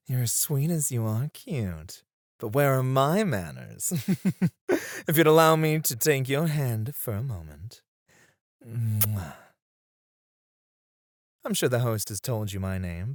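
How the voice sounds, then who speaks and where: A suave male escort acted by a man speaks in a low, very close and dry voice. His delivery swings expressively as he teases and flatters one listener in an ASMR roleplay, courteous and unhurried in his phrasing. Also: dry recording. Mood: flirtatious, courteous. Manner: teasing, courteous, drawn-out phrasing with soft chuckles. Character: suave, flirtatious male club escort, smooth-mannered and teasing.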